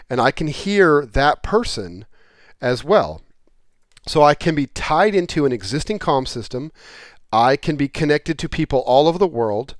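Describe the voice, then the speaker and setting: A man's voice: on the high side, dry recording, clean studio sound. A man presenting a product in a casual explainer style, talking plainly and repeating points in everyday terms.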